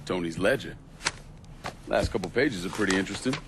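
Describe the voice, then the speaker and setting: An actor playing a cold, menacing crime boss in a video game, speaking tersely and with command. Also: male voice, low-pitched, brisk, background noise, natural voice. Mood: cold, resolute. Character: cold, menacing young crime boss waging war on the mob. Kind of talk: cinematic game dialogue.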